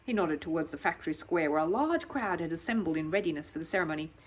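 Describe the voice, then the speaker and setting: A woman narrating a children's story in an expressive, scripted read-aloud style. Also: deep voice, fast.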